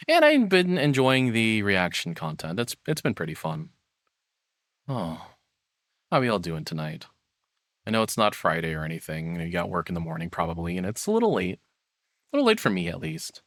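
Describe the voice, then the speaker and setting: A man's voice: on the low side, a bit bright, no room sound, studio quality. A man hosting a livestream, chatting casually to viewers in a rambling, self-deprecating way. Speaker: livestream host. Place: own room. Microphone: processed streaming microphone, close and dry.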